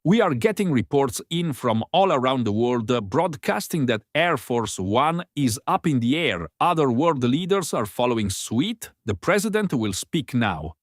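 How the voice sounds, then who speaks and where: A man's voice: medium pitch, big pitch swings, moderate pace, few pauses, no room sound, studio quality. A man playing a television news anchor in an audiobook, urgently reporting breaking events.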